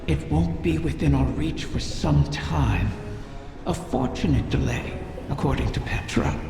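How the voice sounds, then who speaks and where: Ancient scheming dragon for a fantasy game: slow, gravelly, drenched in cavernous reverb. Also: mid-range voice, expressive. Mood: sly, brooding. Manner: slow, deliberate, cryptic. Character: Riven, ancient wish-dragon, sly, riddling, grandly menacing. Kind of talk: scripted game dialogue. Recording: long echoing reverb under music.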